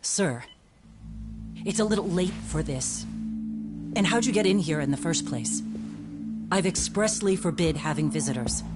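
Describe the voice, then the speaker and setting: A woman's voice: lowish voice, lively intonation, measured pace, pauses often. An actress playing a guarded, stern woman in a game cutscene, formal and cool. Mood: cold suspicion. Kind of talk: video game cutscene acting. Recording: dramatic music behind the voice.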